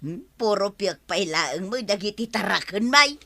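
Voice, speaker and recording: A woman's voice: mid-range voice, expressive, normal speed, vocal fry, pushed and bright, heavily acted. A woman with an Ilocano accent playing a gossipy, nagging villager in a radio comedy drama.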